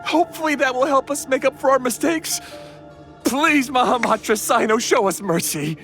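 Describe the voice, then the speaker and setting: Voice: high voice, moderate pace, a bit bright, soft background music. A voice actor playing a nervous thief who explains everything and begs for mercy, in a game cutscene. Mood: anxious. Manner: anxious, pleading explanation.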